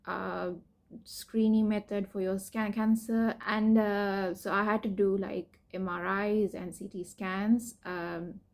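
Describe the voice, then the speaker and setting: A young woman from Sri Lanka, a PhD student, explaining her cancer treatment and story in a candid personal vlog, hesitant, with many fillers and soft laughs. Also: mid-range voice, flat delivery, slow pace. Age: young adult.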